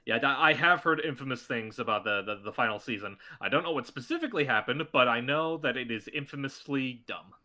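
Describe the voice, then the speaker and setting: Bright-voiced chatty man. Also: medium pitch, a little fast, hardly any pauses. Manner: chatty, rambling with many restarts. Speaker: guest voice actor. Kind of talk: casual podcast interview.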